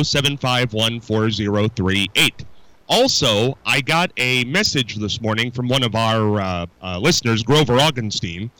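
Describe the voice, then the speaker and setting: A man's voice: mid-range voice, pushed and bright, no room sound, low-quality recording. A man hosting a local radio talk show, chatting casually and rambling with restarts.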